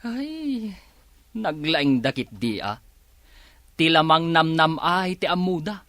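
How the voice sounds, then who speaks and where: A man's voice: a little high, big pitch swings, takes it slowly, a bit bright, no echo, heavily acted. A man playing a stubborn, self-righteous husband in a radio drama, argumentative and boastful. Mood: boastful, indignant.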